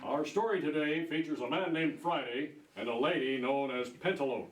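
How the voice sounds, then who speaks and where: A man's voice: on the high side, moderate pace, loud music behind the voice. Echoey male radio show announcer. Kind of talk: scripted old-time radio announcing. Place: stage performance in a roomy hall. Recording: echoey hall, distant mic, faint noise.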